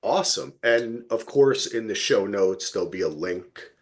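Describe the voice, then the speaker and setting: A man's voice: fairly low pitch, animated, moderate pace. A man hosting a podcast in a casual, informal and enthusiastic chatting style. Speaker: podcast host.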